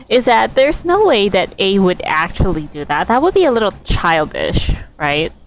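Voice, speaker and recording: A woman's voice: medium pitch, sing-song, a little slow, noisy background. A woman streaming a game with casual commentary, musing to herself and reading dialogue aloud. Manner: musing, talking to self.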